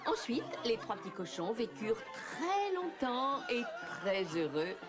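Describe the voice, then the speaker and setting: A woman's voice: on the high side, sing-song, a little slow. A woman storyteller reading scripted narration for a children's fairy tale. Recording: music and crowd noise behind.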